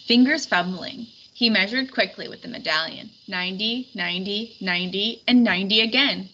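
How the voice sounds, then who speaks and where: A woman reading a storybook aloud in expressive scripted narration. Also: medium pitch, normal speed. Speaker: storybook reader. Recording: dull recording with faint background noise.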